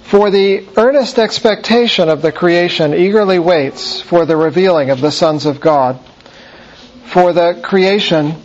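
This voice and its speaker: A man teaching a Bible class, reading scripture in a measured way and then lecturing with many fillers and restarts. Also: sits high, a little mellow, muffled recording.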